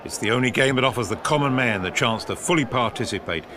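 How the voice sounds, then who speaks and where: An actor playing a gruff, opinionated senior government official in a period audio drama, clipped, dry and authoritative. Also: male voice, medium pitch, quick pace, close to an everyday voice. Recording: outdoor sports crowd and noise behind.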